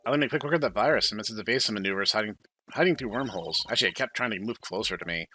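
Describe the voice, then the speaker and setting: A man's voice: fairly low pitch, very fast, sharp tone. A man hosting a game playthrough, thinking aloud as he comments on what he plays. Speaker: gaming video host. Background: game music.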